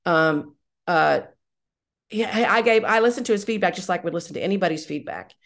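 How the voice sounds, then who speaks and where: A middle-aged woman speaks as a guest in a podcast interview, in a voice pitched on the low side. She is enthusiastic and emphatic, repeating herself as she explains in a conversational way. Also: animated, fairly quick, vocal fry, fairly bright tone. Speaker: podcast guest, audio drama writer-director.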